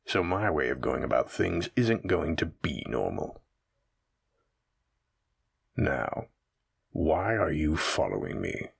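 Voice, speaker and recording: A menacing supernatural mafia boss, played by a man toys with his captive in a roleplay audio drama. His voice is very deep and husky, with heavy gravel in it. He taunts and teases theatrically, speaking straight to the listener. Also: a bit bright, heavily acted. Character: menacing, teasing male mafia boss villain with shadow powers.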